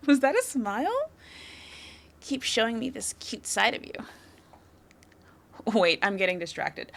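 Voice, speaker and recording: Woman acting a coy, flirty criminal suspect, swooping pitch. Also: heavily acted. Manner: coy, teasing, playful delivery with breathy drops into whispers. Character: flirty, confident female criminal suspect teasing a detective. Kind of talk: flirtatious scripted audio roleplay, addressed to the listener.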